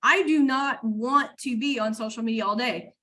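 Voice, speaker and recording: A woman business coach hosting an interview, talking enthusiastically in fast bursts with lots of fillers. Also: fairly high pitch, pushed and bright. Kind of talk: interview chat.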